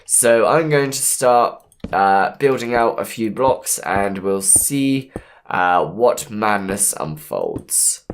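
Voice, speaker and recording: A man with a hoarse voice from a cold, easygoing and punny as he plans his moves aloud while commentating a game. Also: lowish voice, lively intonation, slow. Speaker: gameplay commentator. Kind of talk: let's play commentary.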